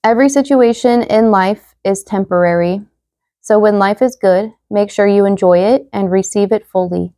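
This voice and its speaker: A young woman giving a casual tarot reading to viewers, chatty and full of fillers, slightly hoarse as she loses her voice. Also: medium pitch, normal speed, warm tone, no echo, clean studio sound. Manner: chatty, many fillers, reads card messages aloud. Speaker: tarot reader.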